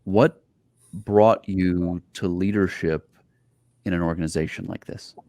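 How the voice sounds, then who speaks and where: A man's voice: deep voice, fairly even intonation, measured pace, mellow, no echo. A man hosting an interview podcast in a conversational style, introducing his guest and putting questions.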